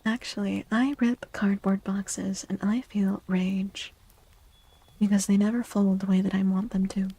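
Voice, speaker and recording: A woman speaking softly and gently in a breathy, half-whispered ASMR style, like a livestreamer, often repeating phrases. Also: medium pitch, flat delivery, a little slow, no room sound. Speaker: streamer and tarot reader. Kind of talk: soft-spoken ASMR livestream with casual chat.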